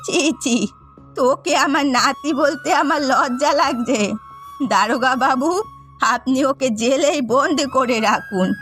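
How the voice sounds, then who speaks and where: A stern cartoon grandmother speaks in a high voice. She is elderly and reproachful, scolding like a village shopkeeper who has had enough. Light music sits underneath. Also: unhurried, fairly bright tone. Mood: ashamed, indignant. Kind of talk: Bengali fairy-tale cartoon dubbing.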